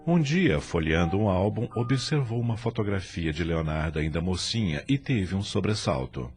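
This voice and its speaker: A man's voice: sits low, animated, normal speed, over music. A man narrating a scripted audio drama in a solemn storytelling style.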